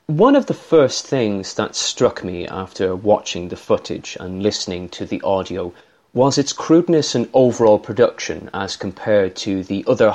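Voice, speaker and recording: A man with an Irish accent giving scripted, measured analytical commentary as a voice analyst. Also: low-pitched, a little mellow.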